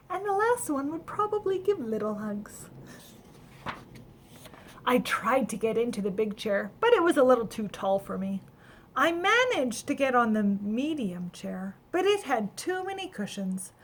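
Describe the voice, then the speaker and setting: A woman voicing a cheerful, naive little male snowman who chats wide-eyed through his adventure in a children's storybook. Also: on the high side. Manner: wide-eyed, chatty, animated. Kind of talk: children's storybook read-aloud.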